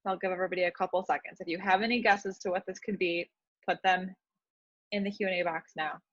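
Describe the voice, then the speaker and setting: A woman's voice: on the low side, steady tone, quick pace, fairly bright tone, no echo. A woman hosting a webinar casually, with an informal, playful manner, heard through dull webinar audio. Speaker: webinar host.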